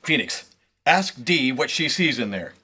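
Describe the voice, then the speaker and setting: A woman's voice: very deep voice, big pitch swings, a little slow, bright, forceful tone, slightly rough, exaggerated voice. A woman playing an earnest, self-styled space detective who questions and gives orders in a comic sci-fi audio drama. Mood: cautious.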